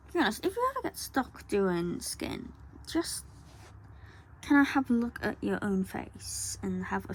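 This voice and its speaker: A woman, talking softly through a colour-along tutorial and often dropping to a whisper. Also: medium pitch, vocal fry, a little hiss or hum. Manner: soft, rambling, often drops to a whisper. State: croaky voice.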